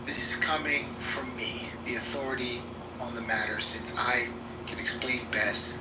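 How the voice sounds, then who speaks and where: A man's voice: mid-range voice, steady tone, moderate pace. Profane, provocative man in a noisy echo. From New York City. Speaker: debate participant. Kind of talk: heated voice-chat debate. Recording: echoey, distant, noisy playback.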